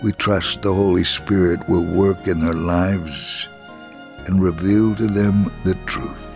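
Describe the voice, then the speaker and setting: A man reading a scripted story for radio as narrator, solemn and measured, with a gravelly, husky voice. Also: low-pitched, steady tone, over music.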